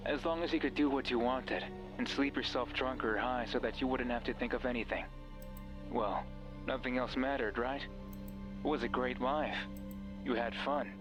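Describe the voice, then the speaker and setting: A sinister, mysterious male voice from a horror game, acted out by a man, at a middling pitch with music clearly playing behind it. He is deliberate and insinuating, a false friend who manipulates and taunts. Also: fairly even intonation, lo-fi sound, close to an everyday voice. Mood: taunting. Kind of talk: dramatic horror game voice acting. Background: background music.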